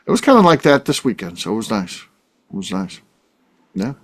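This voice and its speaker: A man's voice: on the low side, a little fast. A man co-hosting a podcast, reading sponsor spots and joking with guests in casual chat. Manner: lively, joking, reads sponsor ads. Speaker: podcast co-host.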